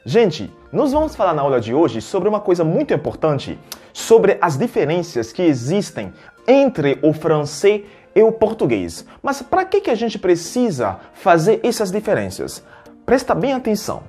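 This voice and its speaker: A man's voice: high voice, huge pitch swings, normal speed, dark tone, breathy edge, faint music behind. A man who grew up speaking teaches a language lesson in Portuguese, explaining step by step and checking in with the learners. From a French-speaking country. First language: French. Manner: explanatory, keeps checking in with "beleza?" and rephrasing with "ou seja". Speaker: French teacher.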